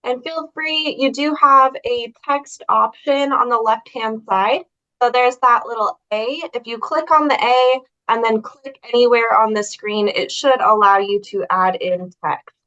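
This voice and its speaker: A woman moderating a webinar, reading announcements and guiding participants smoothly. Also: a little high, measured pace, pushed and bright. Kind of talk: scripted announcements and guidance. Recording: dull lo-fi webinar audio.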